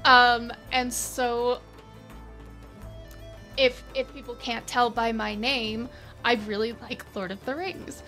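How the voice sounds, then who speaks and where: A woman chatting casually as an interview guest, enthusiastic and expressive about playing tabletop games. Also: on the high side, slow, long pauses, soft background music.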